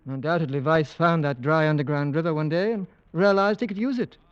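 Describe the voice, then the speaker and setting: An actor playing a concerned, reasoning gentleman in a 1940s radio drama, articulate and emphatic. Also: a little high, brisk, clear, resonant tone, close to an everyday voice. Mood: thoughtful. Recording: dull, dry old radio recording.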